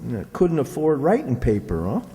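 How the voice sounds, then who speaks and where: A man's voice: mid-range voice, huge pitch swings, moderate pace, dark tone. A man playing a mild, gullible and wry country sidekick with a folksy rural Southern drawl, in old-time radio comedy style. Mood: folksy, sympathetic. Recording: echoey hall with long reverb.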